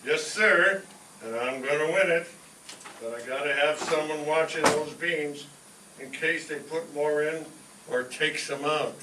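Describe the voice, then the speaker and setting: Echoey, high-voiced man playing scheming comedy dad. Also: a little slow, natural voice. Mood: scheming, insistent. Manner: keeps muttering numbers while counting. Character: bean-obsessed father, eager, bossy, scheming. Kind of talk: old-time radio comedy acting. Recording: echoey distant live stage, noisy room.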